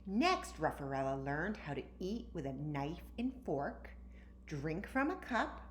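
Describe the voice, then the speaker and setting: A woman reading a children's story aloud with animated, emphatic narration. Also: deep voice, unhurried. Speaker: storytime reader. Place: indoor room, recorded at home. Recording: roomy, echoey, faint background noise.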